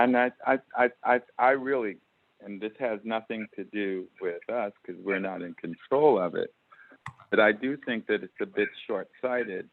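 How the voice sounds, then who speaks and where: A male council member speaking earnestly and insistently in a meeting, repeating words haltingly, over a phone conference line. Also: lowish voice. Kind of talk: commenting in a meeting. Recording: tinny phone line, faint noise.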